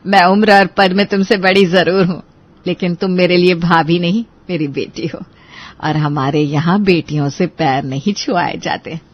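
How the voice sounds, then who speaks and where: A woman's voice: on the low side, fairly even intonation, normal speed, close to an everyday voice. A woman voicing a kindly, motherly middle-aged elder sister in an audio story. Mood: affectionate. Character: kindly, motherly forty-five-year-old elder sister.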